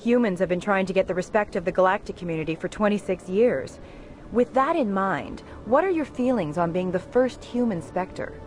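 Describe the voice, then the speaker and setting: A woman's voice: medium pitch, expressive, moderate pace, a little mellow, a little hiss or hum, close to an everyday voice. A woman playing a polished, needling TV news reporter in a video game.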